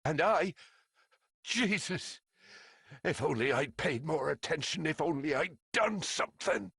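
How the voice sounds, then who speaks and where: A man playing a polite, fretful gentleman in a video game, courteous and hesitant in his dramatic delivery. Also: a little high, animated, unhurried, vocal fry, husky, no room sound, clean studio sound, heavily acted. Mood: shocked, regretful. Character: polite, anxious gentleman worried for his missing companion. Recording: loud noise behind the voice.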